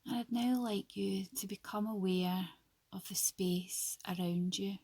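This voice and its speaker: A woman leads a guided yoga nidra for sleep at a very slow pace. Her voice is soft and breathy with a good deal of creak at the edges. She speaks in short, hushed, soothing phrases with long pauses between them. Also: mid-range voice, fairly even intonation, no room sound.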